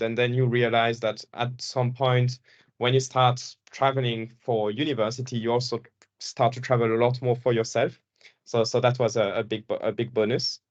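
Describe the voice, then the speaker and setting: Young man, French native from Belgium; casual, loose presenter who restarts sentences often; mid-pitched, slightly muffled audio. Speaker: former student speaker. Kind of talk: presentation at an online information session.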